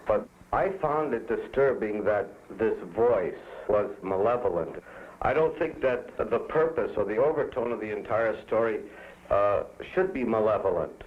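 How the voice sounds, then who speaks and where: A man giving hesitant, deliberate feedback in a group discussion. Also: on the low side. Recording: dull lo-fi sound, background noise.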